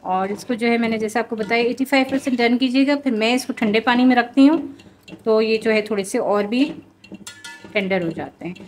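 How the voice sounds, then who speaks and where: A woman's voice: a little high, brisk, a little hiss or hum. A woman, hosting a home cooking show and explaining each step in a chatty way with many fillers. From Pakistan.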